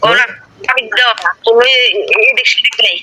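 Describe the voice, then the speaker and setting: A speaker with an eastern Bengali rural dialect chatting casually in a halting, repetitive, colloquial way. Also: mid-range voice, background noise. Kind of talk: casual phone chat.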